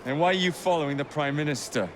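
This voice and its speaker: An actor playing a cocky young Victorian London assassin with an English accent, dry and cheeky. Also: male voice, fairly high pitch, fast, background noise, close to an everyday voice. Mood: cool, threatening. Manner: dry, cheeky, self-assured.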